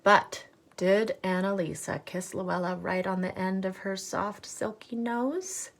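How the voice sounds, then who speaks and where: A woman reads a children's story aloud at a slow, careful pace. She is expressive and teacherly, often stepping out of the text to chat with her listeners. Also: mid-range voice, vocal fry. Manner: animated, teacherly, pauses for questions. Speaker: storyteller reading a picture book aloud.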